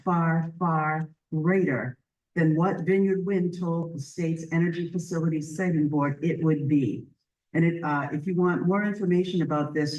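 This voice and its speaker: A woman leading a community group, giving an earnest, chatty presentation at a public meeting in a library, with a loud voice. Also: sits low, distant mic, poor audio. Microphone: handheld or stand microphone.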